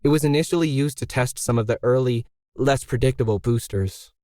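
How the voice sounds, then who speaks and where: A man's voice: medium pitch, fairly quick, a little mellow, no room sound, studio quality, close to an everyday voice. Earnest woman read by a man, explaining.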